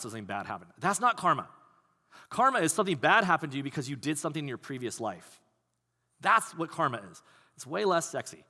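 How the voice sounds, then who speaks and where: A man preaching a sermon as pastor, talking in a conversational, animated way. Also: a little high. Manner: conversational, animated, acts out quoted voices. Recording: long hall reverb.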